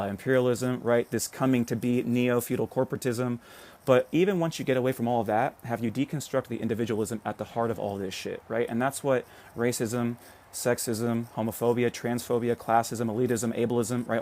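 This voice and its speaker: A man's voice: medium pitch, darkish tone. An American man hosting a casual political livestream. He rambles and swears, keeps checking in with "right?", and stops to greet commenters. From United States. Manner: reads out and answers viewer comments. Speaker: livestream host.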